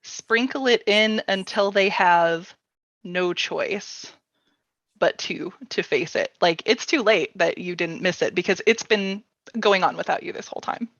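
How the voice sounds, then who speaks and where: A woman hosts a casual livestream workshop in a mid-pitched voice. Her phrasing is informal and a bit hesitant, peppered with "like." The sound is dry and slightly dull. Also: a little slow, a bit bright, no room sound. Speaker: host of a publisher's livestream workshop. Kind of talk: casual workshop chat, reading viewer questions.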